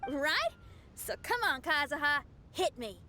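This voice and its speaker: Video game ingenue, a bubbly young girl, high-pitched, animated, guileless. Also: a little slow, heavily acted. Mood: eager. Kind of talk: video game character voice acting. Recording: music behind.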